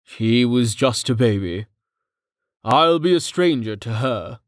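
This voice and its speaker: Male narrator as a wary family man, brooding, hesitant, slow-spoken, fantasy audiobook. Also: mid-range voice, lively intonation, no echo, clean studio sound. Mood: anxious, sorrowful.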